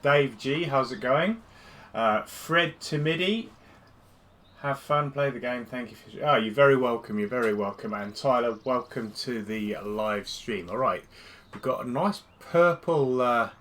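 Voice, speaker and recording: A man with a stuffy cold, hosting a casual live stream with chatty, relaxed commentary as he plays. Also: mid-range voice. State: congested from a cold. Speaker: gaming streamer.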